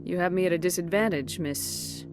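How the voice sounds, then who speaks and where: An actress playing a composed, assertive military commander in a science fiction game, with a direct, matter-of-fact delivery. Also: female voice, fairly low pitch, moderate pace, over music, close to an everyday voice. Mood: composed, firm. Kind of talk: scripted video game dialogue.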